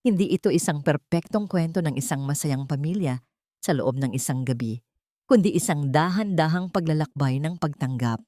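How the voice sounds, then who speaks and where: Woman reading a drama story, kinda low voice, solemn n measured, super dry recording. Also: animated, warm tone, no echo. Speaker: audiobook narrator. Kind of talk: dramatic scripted story narration.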